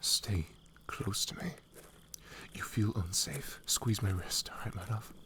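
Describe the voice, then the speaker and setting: A man's voice: fairly low pitch, animated, slow pace. A man playing a devoted, protective young knight in love, tender and hesitant, in a romantic fantasy ASMR roleplay.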